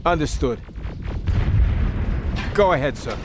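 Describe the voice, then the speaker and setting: A man's voice: fairly high pitch, expressive, slow pace, noisy background. A man voicing an anxious, deferential resistance soldier in a video game battle. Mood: obedient, tense. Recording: loud music and artillery behind.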